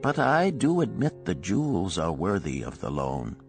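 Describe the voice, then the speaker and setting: A man's voice: low voice, huge pitch swings, moderate pace, soft background music, full character voice. A man voicing a shrewd older gold lender in a storybook, speaking in an archaic, emphatic, declamatory way. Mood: exasperated storytelling.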